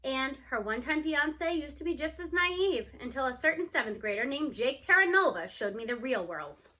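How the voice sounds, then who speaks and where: A woman reads a children's novel aloud. Her voice is high and bright, in the style of scripted story narration. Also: lively intonation, normal speed.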